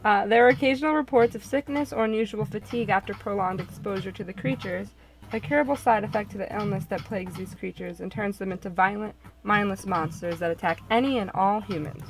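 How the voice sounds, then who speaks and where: A woman's voice: mid-range voice, a little fast, poor audio. Female narrator, scripted story reading, visual novel, middle register.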